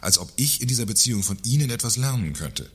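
An actor playing a pompous, cynical company director in a satirical radio play, wordy and condescending. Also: male voice, mid-range voice, expressive, quick pace, breathy edge. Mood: self-satisfied.

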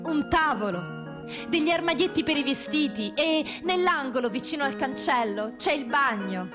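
A woman's voice: high-pitched, animated, moderate pace, music in the background. A woman playing a wry, wistful prison inmate in a theatrical radio monologue, ironic and dreamy, lingering on her words. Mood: wry, playful.

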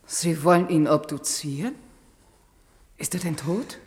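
A woman's voice: low voice, unhurried, darkish tone. An actress playing a distressed woman in a 1970s radio play, questioning urgently and insistently. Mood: shocked.

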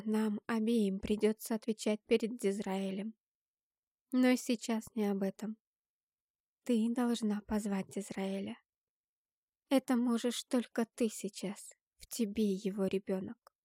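A woman's voice: mid-range voice, steady tone, a little slow, pauses often, mellow, dry recording, studio quality, natural voice. A woman voicing a stern, protective fantasy heroine, firm, emphatic and tense, in an audiobook story.

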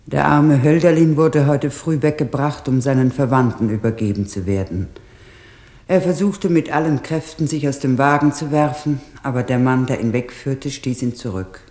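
An actor playing a compassionate witness, narrating as if from a letter in a German radio drama. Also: male voice, medium pitch, normal speed, a little mellow, close to an everyday voice. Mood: sombre. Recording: echoey room sound.

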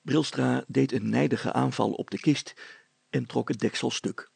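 A male storyteller narrates a scripted children's tale. His voice is husky and recorded dry and close. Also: mid-range voice, a little slow, a little mellow, no room sound.

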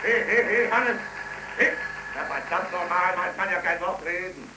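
An actor playing a grumbling older factory smith, scornful of the young, in 1930s German radio drama style. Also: male voice, sits high, moderate pace, natural voice. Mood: indignant shouting. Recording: echoey, noisy 1931 radio recording.

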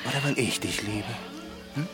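A man's voice: medium pitch, normal speed, natural voice. An actor playing an amorous, wild half-ape young man who murmurs and teases, in a radio drama with music behind. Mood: playful, amorous. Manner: breathy sniffing, murmured teasing, sudden squeals.

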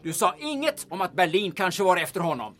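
High-pitched, defensive, hapless detective. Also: male voice, very animated, fairly bright tone. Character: defensive, hapless, self-pitying shabby private detective. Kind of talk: period radio drama acting.

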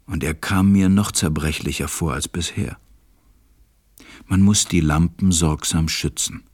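A man acting a reflective, tender pilot who narrates a radio play in a measured, gentle way. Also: a bass voice, husky, full character voice. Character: reflective adult male pilot, tender storyteller.

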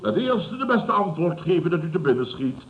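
A man's voice: high voice, sing-song, normal speed. An actor in his fifties playing a self-satisfied, blustering building boss in a vintage radio comedy, chuckling and repeating himself. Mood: indignant, amused. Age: 50s. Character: gruff, self-satisfied older male building boss telling tales. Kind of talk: 1970s Dutch radio comedy acting. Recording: muffled, noisy vintage radio recording.

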